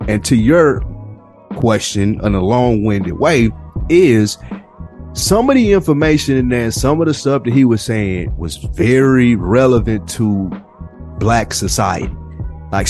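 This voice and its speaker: A man's voice: medium pitch, darkish tone. An American man co-hosting a podcast, talking casually and emphatically at length, repeating phrases to drive his opinions home. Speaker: podcast co-host. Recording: music playing behind the voice. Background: music.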